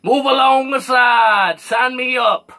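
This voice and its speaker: A young man playing a manic, boastful gym nut in comedy prank calls, with drawn-out exaggerated whoops and shouts. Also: very high voice, full character voice. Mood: boastful, excited. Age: young adult. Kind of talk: prank phone call comedy. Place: small cluttered room.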